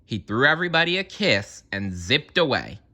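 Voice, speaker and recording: A man reading a children's story aloud in an expressive, theatrical narrating style. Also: medium pitch, normal speed. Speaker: storybook reader.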